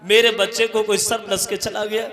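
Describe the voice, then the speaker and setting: A man's voice: high voice, level tone, moderate pace, fairly bright tone. A man voicing a grieving young mother who pleads tearfully for her dying son. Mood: pleading. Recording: echoey hall with tabla music.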